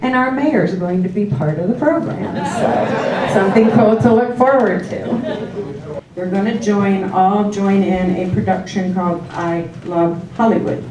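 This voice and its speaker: A woman's voice: mid-range voice, unhurried. A woman giving a short, upbeat, casual introduction to an audience. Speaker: event introducer. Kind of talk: brief announcement to an audience. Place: indoor hall with audience. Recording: echoey room, distant mic, crowd noise.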